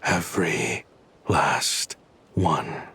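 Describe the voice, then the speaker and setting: An actor playing a brooding, vengeful man in a video game, husky and breathy, speaking in measured, deliberate phrases. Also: a bass voice, animated, pushed and bright, a little hiss or hum, heavily acted. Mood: cold, taunting. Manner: measured, taunting, dramatic pauses.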